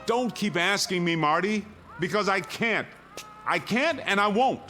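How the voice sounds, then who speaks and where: Stubborn anguished doctor dad, radio drama, emphatic, highish voice that moves around a lot. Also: male voice, animated, unhurried, bright, forceful tone, faint music behind, full character voice. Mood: anguished refusal. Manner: emphatic, declamatory. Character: besieged doctor and father, stubborn, anguished, resolute.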